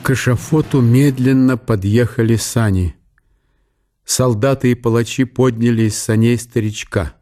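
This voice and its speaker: A man's voice: medium pitch, fairly even intonation, normal speed, dark tone. A man narrating a radio drama in a scripted, storytelling style.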